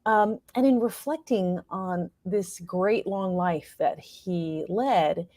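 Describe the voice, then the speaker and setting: An American woman speaking reflectively as an interview guest, thoughtful and halting as she searches for her words. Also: lowish voice, mellow, no echo, studio quality. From New York state, United States. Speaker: interview guest, playwright and performer.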